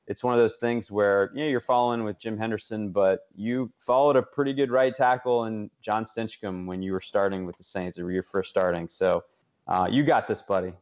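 A man hosting casual sports talk, halting and friendly as he chats with a guest. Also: lowish voice, steady tone, darkish tone, lo-fi sound. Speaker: sports radio interviewer.

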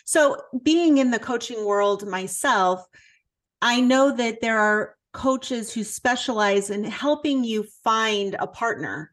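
A woman's voice: fairly high pitch, slow. Woman hosting a coaching podcast. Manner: reads a prepared introduction, then asks questions conversationally. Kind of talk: interview podcast.

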